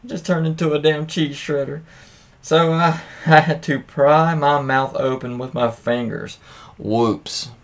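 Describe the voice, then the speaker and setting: A man's voice: a little high, fairly even intonation, slow. A man hosting a podcast, reading anecdotes aloud casually with informal, profane asides and interjections. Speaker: podcast host. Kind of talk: casual read-aloud of anecdotes with offhand commentary.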